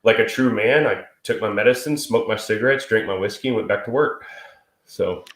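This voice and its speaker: A man's voice: lowish voice, brisk, a bit bright. A man in his thirties co-hosting a live stream in casual, crude, joking chat, stuffy and sniffly from a cold. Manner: crude, joking, swears freely. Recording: echoey room.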